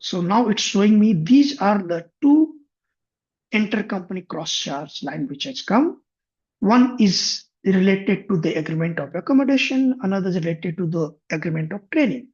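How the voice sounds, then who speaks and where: A man demonstrating finance software on a shared screen, thinking aloud with frequent restarts. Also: high voice, expressive, normal speed, husky. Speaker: software instructor. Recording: muffled lo-fi computer mic.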